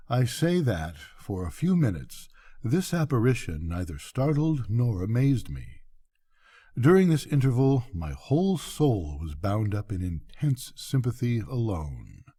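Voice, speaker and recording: Low, mellow older man reading a story. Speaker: story reader. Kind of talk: scripted literary narration, bedtime story reading.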